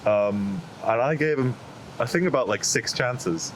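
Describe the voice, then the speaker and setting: A man interviewing a friend, asking casual questions in a relaxed, friendly way. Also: medium pitch, unhurried, a little hiss or hum, rough recording. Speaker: interviewer and filmmaker.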